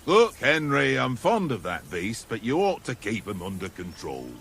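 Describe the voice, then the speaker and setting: A chatty, good-natured manservant. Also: male voice, medium pitch, sing-song, moderate pace, gravelly, a bit bright, slight background noise. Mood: grumbling. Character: easygoing male servant, fond of food. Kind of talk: video game cutscene acting.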